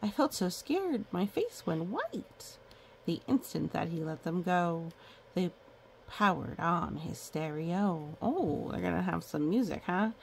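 A woman storyteller reads to children at an unhurried pace, with a noticeably creaky edge to her voice. She is playful, dropping in little comments and counting in a sing-song way. There is some background noise on the recording. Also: fairly low pitch, big pitch swings. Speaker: children's book read-aloud storyteller. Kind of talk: picture book read-aloud with chatty asides to children.

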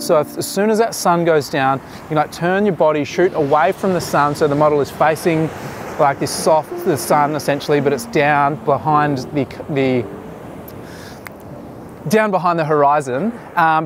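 A man in his thirties directing a beach photo shoot, relaxed and chatty, with many restarts and fillers, recorded outdoors at the shore. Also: fairly high pitch, slight background noise. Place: open sandy beach at sunset, outdoors. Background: music.

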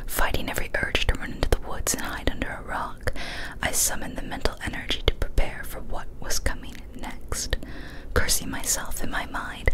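A young adult woman reads a horror story as ASMR in a breathy whisper, careful and deliberate, close to a studio microphone. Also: rough recording. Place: small cozy indoor room. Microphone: close studio condenser mic on a boom arm.

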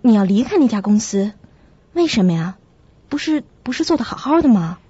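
A woman playing a sensible, caring young flatmate who narrates and acts in a radio drama, with a husky, breathy voice. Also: a little high, a little fast, no echo, dull audio. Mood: reassuring.

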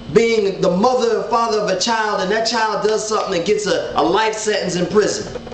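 A man in his thirties with a rather high voice teaches scripture in an unhurried, earnest way. He gestures as he speaks and circles back over his words. The webcam sound is roomy, with a faint hum of ambience behind him. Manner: earnest, animated hand gestures, repeats words. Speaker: Bible study teacher. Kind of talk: casual online Bible teaching. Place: living room at home, indoors. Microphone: webcam at desk distance. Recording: roomy home webcam audio.

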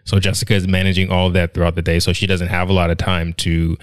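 A man's voice: low voice, monotone, fast, no room sound, clean studio sound. A man in his thirties co-hosting a casual podcast at home, talking in a rambling, thoughtful way with many restarts and fillers. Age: 30s. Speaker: podcast co-host. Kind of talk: casual conversational podcast chat. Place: living room at home, indoors. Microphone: studio mic on a stand with headphones.

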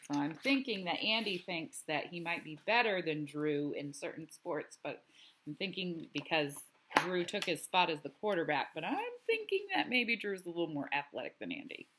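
A woman's voice: fairly low pitch, sing-song, moderate pace, a bit bright, a little hiss or hum, poor audio. A woman reading a storybook aloud expressively, adding explanations as she goes. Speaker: read-aloud narrator. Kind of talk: storybook read-aloud with commentary.

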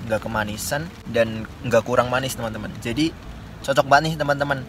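A man's voice: medium pitch, brisk, a bit bright. Young man vlogging over noisy ambience. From Indonesia. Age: 20s. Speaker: solo hiking vlogger. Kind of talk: casual vlog chat to camera. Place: inside a small tent at a mountain campsite. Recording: clear background noise, outdoor ambience.

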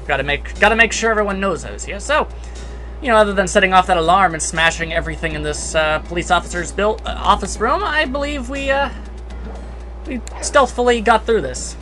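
A man with a high, bright voice gives casual video game commentary. He is sarcastic and playfully grandiose, with game music behind him. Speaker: let's play commentator.

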